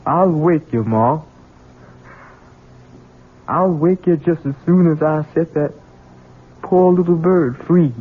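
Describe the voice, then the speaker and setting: An actor playing a simple-minded, childlike grown son in an American radio drama, speaking in a halting, pleading rustic way. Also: male voice, fairly high pitch, noisy background. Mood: meek, apologetic. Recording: tinny band-limited old radio broadcast.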